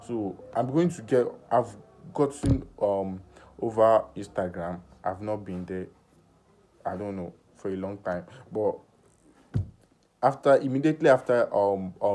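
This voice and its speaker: A nineteen-year-old man talking through a personal vlog in earnest self-talk, halting with many fillers and restarts. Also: fairly low pitch, mellow. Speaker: vlogger.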